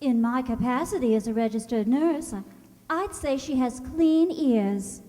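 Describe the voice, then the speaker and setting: A woman's voice: high-pitched, a little slow, dark tone. A woman playing a formal, dryly witty nurse in an old-time radio sitcom. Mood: dry, questioning. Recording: echoey hall.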